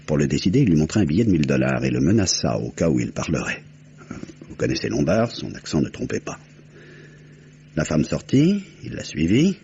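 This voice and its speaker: A composed detective with a low voice and a methodical, articulate way of explaining, in vintage French radio drama style. The recording is slightly dull with faint noise. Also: male voice, dark tone. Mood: grave, methodical. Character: composed, methodical detective recounting a solved murder. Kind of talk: old French radio crime drama acting.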